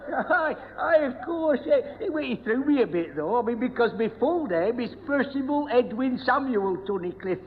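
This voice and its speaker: An actor playing a muddled, forgetful old busybody, stammering and chuckling at himself in a radio sitcom. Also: male voice, very high voice, lively intonation, normal speed, few pauses, a faint crowd. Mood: bumbling, pleased. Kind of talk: broadcast radio sitcom acting before an audience. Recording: dull, noisy old radio recording, echoey hall.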